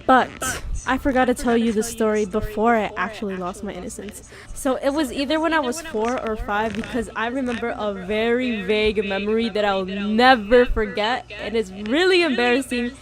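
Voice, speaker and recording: A young woman telling a comedic story from her childhood in a crude, joking, animated way. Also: on the high side, moderate pace. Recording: background music.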